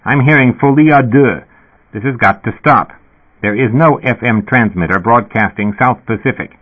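A man's voice: mid-range voice, moderate pace, husky, close to an everyday voice. A man voicing a blunt, crude, skeptical male police officer. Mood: exasperated. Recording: tinny phone-like sound.

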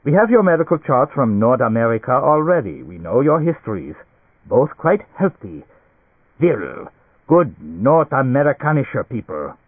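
A man voicing an excitable, fussily reassuring German doctor in an audiobook story, effusive, with broken English sprinkled with German words. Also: mid-range voice, normal speed, husky, no echo, pitched above the performer's own voice. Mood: soothing, bossy. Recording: tinny band-limited radio-like sound.

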